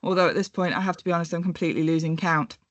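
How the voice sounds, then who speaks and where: A woman in her thirties giving an academic conference presentation in a measured, reflective way, slowing down to pose questions. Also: lowish voice, fairly even intonation, no room sound, lo-fi sound. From born in England, raised in North America and Europe. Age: 30s.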